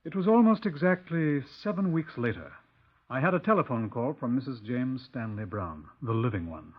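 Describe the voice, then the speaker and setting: A man playing a stern, methodical police inspector in a 1950s radio drama, speaking in a measured, dry, formal manner. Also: medium pitch, expressive, slightly rough. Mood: grave, dry. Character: stern, methodical male police inspector recounting his case. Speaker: narrator and detective character. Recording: tinny, narrow-band 1950s radio recording.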